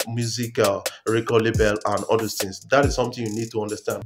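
Nigerian man, slightly higher-pitched, music behind. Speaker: music news vlogger. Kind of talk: informal entertainment news commentary to camera. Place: small room at home, indoors.

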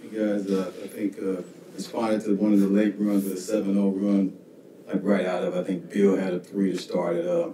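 A man's voice: sits low, flat delivery, normal speed, warm tone. A man asking questions as a reporter at a press conference, rambling a little with fillers. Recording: roomy, distant from the mic, faint noise.

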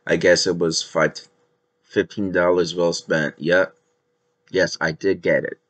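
Young man, low voice, rambling, filler-heavy, headset mic, casual reaction vlog. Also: flat delivery, unhurried, fairly bright tone. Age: young adult. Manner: chatty, rambling with many "like" fillers. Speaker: vlogger reacting to his own footage. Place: living room with a couch, indoors. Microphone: gaming headset.

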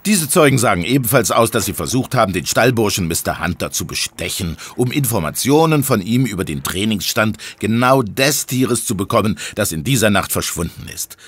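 Low-voiced hectoring police inspector. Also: male voice, lively intonation, brisk, few pauses, fairly bright tone, slightly rough. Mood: smug, pressing. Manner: accusatory, smug, pressing. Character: triumphant, hectoring male police inspector interrogating suspect. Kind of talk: audio drama acting.

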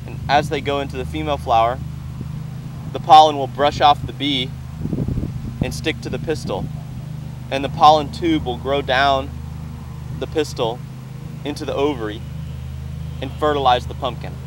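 A man's voice: mid-range voice, noisy background. Young man teaching outdoors in a farm field. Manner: enthusiastic, explains step by step while handling plants. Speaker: farm educator. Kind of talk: outdoor teaching walk-through. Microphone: lapel mic.

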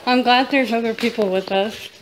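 Young woman vlogger, higher voice, chatting casually while walking, noisy cave recording. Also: steady tone, moderate pace. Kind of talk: casual chat while exploring. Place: inside a wet cave, walking. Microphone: handheld camera or phone.

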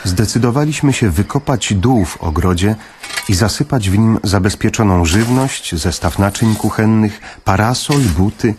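A man narrating a literary radio drama in the first person, in a measured, somber manner. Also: on the low side, a little mellow, slight background noise.

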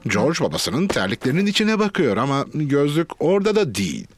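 A man narrating a children's cartoon in a clear storybook manner, with music behind him. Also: a little high, huge pitch swings, a little fast.

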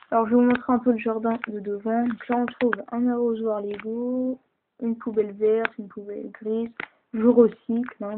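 A woman chatting casually with lots of fillers as she shows off a toy model at home, filmed on a handheld camera held close. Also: fairly high pitch. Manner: chatty, hesitant, many fillers. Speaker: toy builder showing a model. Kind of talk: casual show-and-tell narration. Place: indoors at home. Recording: tinny lo-fi camera audio, hissy.

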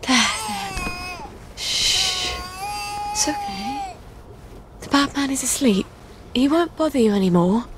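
A woman's voice: high-pitched, very animated, takes it slowly, pauses often, noisy background, full character voice. A woman voicing a kind, flustered, sweetly polite young British mother in a video game, coaxing and muttering to herself. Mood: soothing. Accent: British English.

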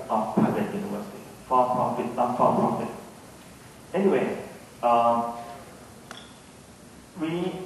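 Echoey, halting Thai-accented man. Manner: hesitant, halting delivery with frequent pauses. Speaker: academic panellist. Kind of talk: unscripted panel discussion talk. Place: large conference hall, on stage. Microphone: handheld microphone, picked up by a distant camera. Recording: echoey hall from far off, faint rumbling noise.

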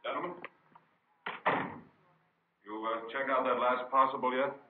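An American actor playing a gruff, commanding chief of detectives, brisk and authoritative, in an old radio crime drama. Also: male voice, medium pitch, fairly even intonation, lightly acted. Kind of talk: 1950s radio acting. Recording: muffled, noisy vintage radio broadcast.

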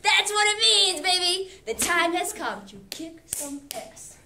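A woman's voice: very high-pitched, a little slow, sharp tone. A middle-aged woman teacher reading aloud theatrically in a classroom, with informal explanatory asides. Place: classroom, indoors. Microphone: camera a few metres away. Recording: classroom camera with background noise.

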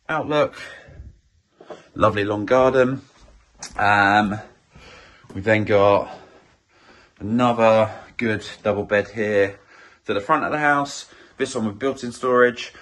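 Croaky estate agent, lower-pitched, upbeat and conversational, many fillers. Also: male voice, takes it slowly, pauses often, fairly bright tone. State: slightly croaky voice. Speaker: estate agent giving a property tour. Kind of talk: casual walk-through property tour. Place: inside a small house, walking room to room.

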